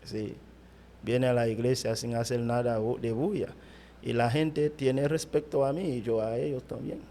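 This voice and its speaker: A middle-aged Haitian man, a pastor whose first language is Haitian Creole, speaking Spanish haltingly with a Haitian accent in an interview. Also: mid-range voice, steady tone, dark tone, a little hiss or hum. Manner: halting, searching for words in Spanish. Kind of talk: interview answers.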